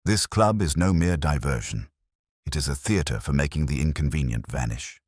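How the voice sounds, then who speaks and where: A grim detective as a man reads him in an audiobook with a very deep voice and a dry, close sound. He is incisive and commanding, with expressive pitch. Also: normal speed, husky, dry recording, full character voice. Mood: grim, urgent. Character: grim, shrewd male detective, coolly commanding. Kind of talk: audiobook character dialogue.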